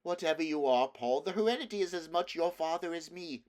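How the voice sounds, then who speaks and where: A man voicing a calm, gentle mother who speaks soothingly and sometimes cries out in alarm, in an audiobook reading. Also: on the high side, fairly quick, voice pushed up, close to an everyday voice.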